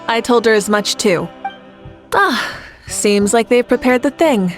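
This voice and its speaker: A woman's voice: on the high side, lively intonation, a little slow, soft background music. A woman playing a composed, kindly young leader in a video game, speaking with assured encouragement. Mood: easygoing. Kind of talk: video game character acting.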